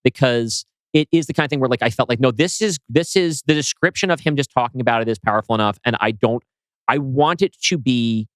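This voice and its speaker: A man with an average-pitched voice speaks in an informal podcast discussion. He sounds like a writer talking through his work with a co-host, loose and unpolished in his phrasing. The sound is close and dry, with little room on it. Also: brisk, fairly bright tone, no echo.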